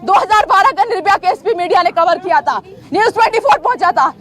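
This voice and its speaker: A woman reports live from the field in an extremely high voice. She is confrontational and indignant, pressing the same pointed questions over and over. Her delivery is emphatic and rhetorical, built on repeated demands. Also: fast talker, fairly bright tone, some chatter behind, a little hiss or hum. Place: outdoors at a police roadblock.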